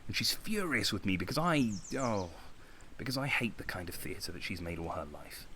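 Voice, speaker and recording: An actor playing a bitter, insecure young man in a naturalistic audio drama, speaking intensely with dramatic pauses. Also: lowish voice, sing-song, pushed and bright, a little hiss or hum. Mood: bitter, impassioned. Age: young adult. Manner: intense, agitated, self-pitying, with dramatic pauses. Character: young man, Konstantin, bitter, insecure, impassioned would-be artist.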